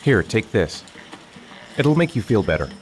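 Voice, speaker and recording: An actor playing a gentle, patient father looking after his young son in a video game cutscene, soft-spoken but gently firm. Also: fairly low pitch, sing-song, measured pace, slight background noise.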